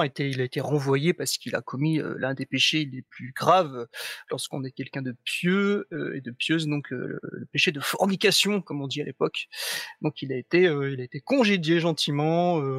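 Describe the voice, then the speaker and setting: A man describing his character at length, rambling and halting, with many fillers. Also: a little high, a bit bright, no room sound, clean studio sound. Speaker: player. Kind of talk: introducing his character in a tabletop game.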